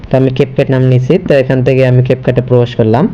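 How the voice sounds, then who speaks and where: A man's voice: mid-range voice, level tone, quick pace, warm tone, dull audio. A man hosting a casual step-by-step screen tutorial, linking his sentences repetitively with the same connective word. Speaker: tutorial host.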